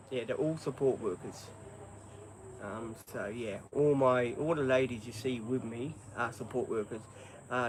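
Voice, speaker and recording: A man's voice: medium pitch, a little hiss or hum, rough recording. Rambling Australian man, crickets behind. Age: 50s. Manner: rambling, hesitant, many ums. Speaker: livestreamer. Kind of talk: casual live-stream chat.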